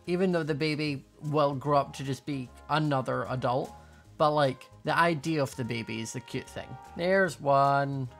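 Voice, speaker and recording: A woman's voice: very deep voice, moderate pace. A young woman giving casual, playful gameplay commentary, thinking aloud as she hosts a gaming video. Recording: faint music behind voice. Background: faint music.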